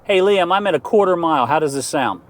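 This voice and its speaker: A middle-aged man with a slightly high voice talks casually through a gear review. He sounds informal and enthusiastic, often repeating a word for emphasis. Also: lively intonation, quick pace, a little hiss or hum. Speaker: host of an outdoor gear review channel. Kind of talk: casual product review vlog.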